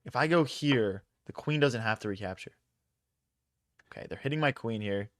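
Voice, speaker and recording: A young man talks through his chess moves live, tossing out slang and answering chat as he goes. His voice sits at a middle pitch, recorded close in a dry small room. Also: no room sound. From Philadelphia, USA. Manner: thinks aloud, casual slang, quick reactions to chat. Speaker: chess streamer. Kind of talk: casual live-stream commentary.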